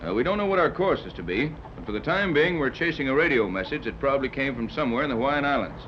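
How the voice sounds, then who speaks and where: An actor playing a wry, skeptical yacht captain who gives clipped orders, in 1930s radio adventure style. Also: male voice, medium pitch, sing-song, quick pace, close to an everyday voice. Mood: brisk, commanding. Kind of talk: 1930s radio serial acting. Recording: muffled, hissy 1930s radio transcription.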